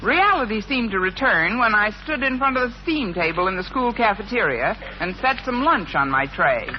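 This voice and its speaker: An actress playing a wry, sarcastic American schoolteacher in a 1950s radio sitcom, with dry, deadpan delivery. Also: female voice, medium pitch, normal speed, lightly acted. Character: wry, lovelorn woman schoolteacher, sharp-tongued and sarcastic. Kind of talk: 1950s radio sitcom acting with first-person narration. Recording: dull, noisy 1950s radio recording.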